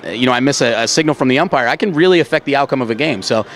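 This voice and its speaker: A man's voice: mid-range voice, lively intonation, rapid-fire, a bit bright. A young American man with a trained theater voice, answering interview questions conversationally as a stadium announcer, outdoors at a ballpark. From Baltimore, United States. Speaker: stadium public address announcer, interview guest. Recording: faint outdoor urban background noise.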